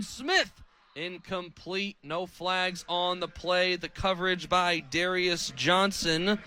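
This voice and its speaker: A young American man works as a radio play-by-play announcer. His voice sits high and has a bright, punchy edge. He delivers live football commentary, tracking each snap and ball carrier. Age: 20s.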